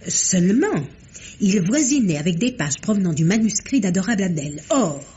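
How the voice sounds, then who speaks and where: A gossipy, conspiratorial heiress, played by an actress in a vintage radio drama. Her voice swoops lively up and down between confiding asides and giggles. The sound has a lightly dulled, old-broadcast quality. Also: mid-range voice, normal speed, lo-fi sound, lightly acted. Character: scheming, chatty woman couture house heiress. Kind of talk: 1950s French radio drama acting.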